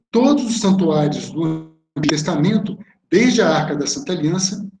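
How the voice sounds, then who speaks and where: A man's voice: fairly high pitch, a little mellow. A man with a Brazilian Portuguese accent gives an art history lecture over shared slides on a video call, first reading a prepared text, then explaining the slides with some hesitant fillers. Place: home room on a video call. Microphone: webcam or laptop mic on a video call. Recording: roomy video call audio.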